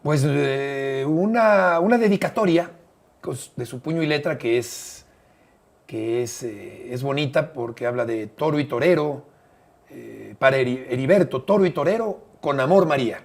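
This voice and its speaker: Older Mexican man, measured storyteller. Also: mid-range voice, expressive, vocal fry. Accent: Mexican Spanish. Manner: measured, fills pauses with "eh", repeats phrases. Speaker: interview guest, broadcaster and bullfight writer. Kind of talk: relaxed conversational storytelling.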